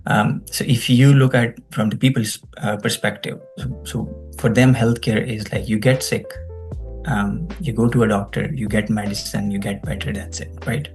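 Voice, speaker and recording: A man's voice: lowish voice, steady tone, normal speed, darkish tone, soft background music. A man with a Pakistani accent speaking as an interview guest, explaining things conversationally and checking in with "right?". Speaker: guest, global health researcher.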